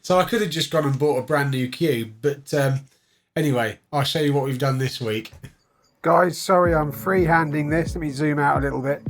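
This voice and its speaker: A man's voice: fairly high pitch, moderate pace. A British man hosting a casual vlog, chatting informally and playfully, often as if thinking aloud. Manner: informal, playful, talks to himself. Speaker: vlogger, livestream host.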